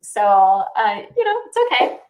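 A woman's voice: mid-range voice, very animated, unhurried, darkish tone. Woman w/ a slight south african accent, chatty, lots of ums and little laughs. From Israel. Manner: chatty, laughs easily, many fillers. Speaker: realtor and guest. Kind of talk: casual interview answers.

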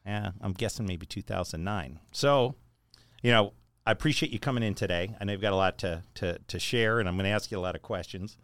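A man hosting a podcast, reading out a guest's introduction in a measured, list-like way, then chatting. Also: lowish voice, a bit bright, no room sound. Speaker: podcast host.

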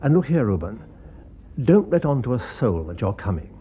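An actor playing a coolly scheming English gentleman surgeon in a radio drama, confiding and deliberate. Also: mid-range voice, sing-song, slightly rough, narrow, tinny audio. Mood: confiding, persuasive.

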